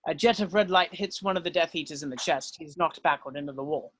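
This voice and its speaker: A man's voice: on the high side, quick pace, a bit bright, no echo. A man reading stage directions as narrator in a scripted style.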